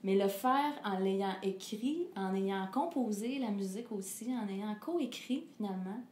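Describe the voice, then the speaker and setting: A middle-aged Québécois woman talks as a seated interview guest, earnest and reflective, often pausing to find her words. Her voice sits at an ordinary pitch for a woman. The living room around her is echoey, with a faint hiss underneath. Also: darkish tone. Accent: Québécois French. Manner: earnest and reflective, with frequent hesitations and laughter. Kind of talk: candid personal conversation. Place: living room with a fireplace, indoors.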